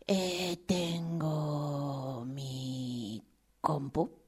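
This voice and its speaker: A woman voicing a lonely old male tortoise in improvised radio theatre, with a Rioplatense Spanish accent and a halting, plaintive, breathy delivery. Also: deep voice, steady tone, darkish tone, heavily acted. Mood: confiding. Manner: halting, plaintive, rambling, breathy drops into near-whisper.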